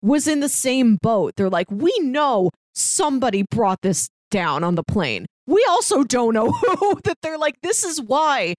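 A woman's voice: fairly high pitch, big pitch swings, a little slow, hardly any pauses, dry recording. Woman chatting casually in dry, close sound. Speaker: podcast co-host discussing an audio drama. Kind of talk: casual chat, recapping a story.

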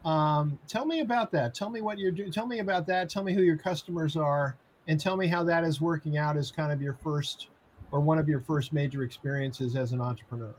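Higher-voiced man hosting a conversational interview. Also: a little fast, slight background noise, low-quality recording. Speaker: interviewer, host.

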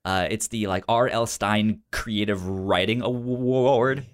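Stuttering man with a low voice. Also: fairly even intonation, slow, smooth. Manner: stutters, repeating sounds and syllables. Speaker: film podcast co-host. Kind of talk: casual movie discussion.

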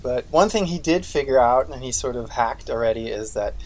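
A man's voice: mid-range voice, animated, fairly quick, slight background noise, rough recording. A man, co-hosting a podcast in casual chat, rambling with lots of fillers. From Austria. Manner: rambling, leans on "basically" and "um". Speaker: podcast co-host.

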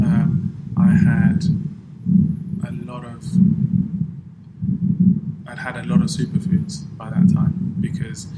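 A man born in Colombia, a podcast guest, explaining things in a casual interview and trailing off into softer asides. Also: medium pitch, a little hiss or hum, rough recording.

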